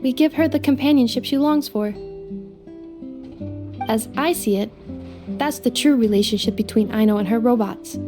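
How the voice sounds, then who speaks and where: A woman voicing a gentle female robot companion in a video game, speaking in a measured, formal, slightly mechanical way. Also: a little high, over music, natural voice. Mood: earnest.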